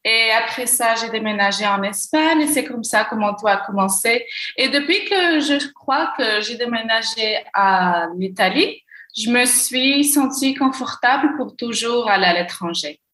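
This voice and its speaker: A young Moroccan woman answering interview questions, often pausing and restarting as she searches for words. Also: fairly high pitch, lively intonation, pushed and bright, echoey room. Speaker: podcast guest, gender equality consultant.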